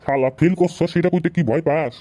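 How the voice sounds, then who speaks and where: A performer playing a gruff adult tea-stall keeper in a comedy cartoon, blunt, mocking and scolding. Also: medium pitch, a little fast, mellow, slight background noise, lightly acted.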